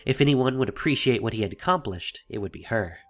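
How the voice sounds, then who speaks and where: A man narrating a fantasy audio drama in a deliberate, theatrical storytelling style. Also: mid-range voice, lively intonation. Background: music.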